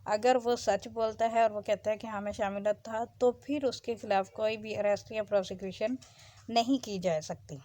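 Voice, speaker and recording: A woman giving an explanatory law lecture, switching between slow, deliberate English reading and explanation in her own language. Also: medium pitch, fairly even intonation, dark tone, no echo.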